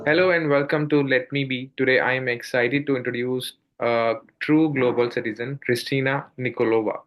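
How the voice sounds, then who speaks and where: A man's voice: medium pitch, steady tone, moderate pace, highs cut off, soft background music. A man hosting an interview show, reading an introduction and asking questions with frequent uhs and you-knows. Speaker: podcast host.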